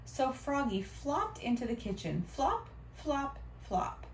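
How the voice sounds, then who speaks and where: A woman reading a storybook aloud to children in an expressive, teacherly way. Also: high voice, slow. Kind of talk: animated read-aloud with questions to children. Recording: roomy, distant mic, faint noise.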